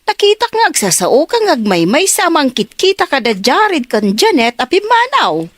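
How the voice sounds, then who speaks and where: A woman's voice: sits high, huge pitch swings, moderate pace, no echo. A woman acting a nosy, chatty mother figure in a radio drama. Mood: chatty, curious.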